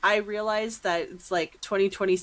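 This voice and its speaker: A woman's voice: medium pitch, fairly even intonation, normal speed, fairly bright tone. A woman hosting a casual podcast interview in an effusive, informal, self-deprecating way, speaking into her own microphone in a room at home. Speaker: podcast host.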